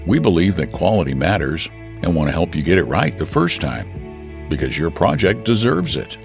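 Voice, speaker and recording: A man's voice: low-pitched, sing-song, normal speed, vocal fry. A man with an American accent narrating a scripted radio advertisement. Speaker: commercial narrator. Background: prominent music with guitar.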